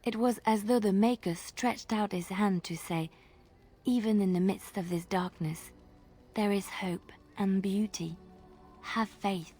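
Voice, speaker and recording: A woman's voice: medium pitch, fairly even intonation, measured pace, dark tone, faint music behind, lightly acted. A woman voicing an earnest, devout young bard. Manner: earnest, wistful, drops to a whisper. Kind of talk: video game voice acting.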